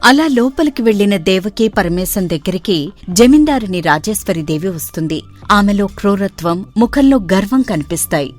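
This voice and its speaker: Female storyteller, mid pitch, faint music bed. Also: expressive, normal speed. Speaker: narrator. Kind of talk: scripted storybook narration.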